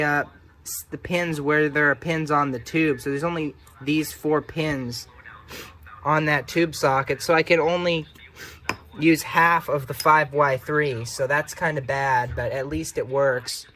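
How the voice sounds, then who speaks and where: A man's voice: a little high, fairly bright tone. A man talking casually and off the cuff, in an offhand, self-deprecating way, as he narrates a hands-on repair. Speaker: hobbyist radio repairer presenting own video.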